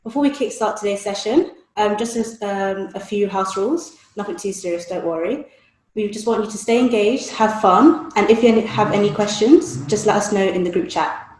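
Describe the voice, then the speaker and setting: A woman's voice: medium pitch, normal speed, darkish tone. Woman hosting in an echoey room. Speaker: host. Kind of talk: event hosting and interviewing. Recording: roomy, echoey room sound.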